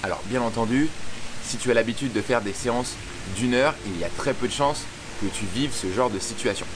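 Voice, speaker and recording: A man's voice: mid-range voice, fairly quick. Young man, swim coach, talks straight to camera, explanatory, audible hiss. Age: young adult. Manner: addresses viewer informally, clear explanatory delivery. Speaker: swim coach and channel host. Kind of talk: direct-to-camera tutorial. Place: outdoors by a pool under a covered walkway.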